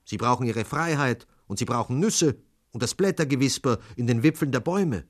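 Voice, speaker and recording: A man's voice: medium pitch, lively intonation, a little fast, voice pushed up, lightly acted. Man doing a firm little stationmaster, raised voice. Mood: firm, earnest. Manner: emphatic, explaining. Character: small stationmaster, kindly, firm, earnest male.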